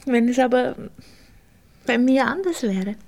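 An actress playing a coy, curious young wife in a radio play, with an Austrian accent and a gently teasing, coaxing manner. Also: female voice, fairly high pitch, lively intonation, unhurried, vocal fry. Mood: wry. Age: young adult.

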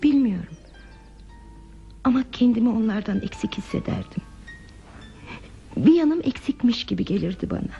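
Muffled radio theatre with music behind it. a lonely, fragile woman with a creaky, mellow voice. She confides softly and hesitantly, wistful and withdrawn. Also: fairly high pitch, lively intonation, normal speed, pauses often. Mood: melancholy, confiding. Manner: hesitant, confiding, soft and reflective delivery. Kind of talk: Turkish radio theatre acting.

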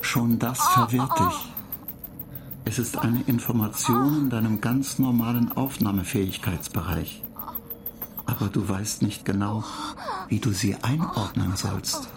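A man playing a computer data agent in a radio play, matter-of-fact, clinical and measured, calmly pedantic and dryly superior. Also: medium pitch, a crowd in the background. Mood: analytical. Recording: clear noise bed behind the voice.

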